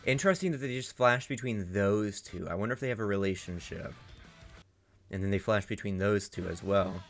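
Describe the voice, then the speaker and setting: A man hosting a casual reaction video, talking excitedly, swearing often and thinking aloud with many restarts. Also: lowish voice, brisk, soft background music.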